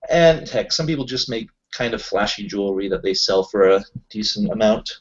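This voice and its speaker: A man's voice: fairly low pitch, animated, moderate pace, dull audio. A man playing a tabletop roleplaying game, explaining things in an informal, rambling way in casual chat.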